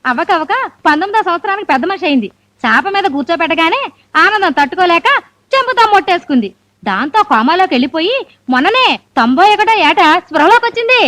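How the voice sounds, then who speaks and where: An actress playing the bride's relative, rattling off explanations in a film comedy. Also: female voice, very high-pitched, sing-song, quick pace, fairly bright tone, no echo, heavily acted. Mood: chatty.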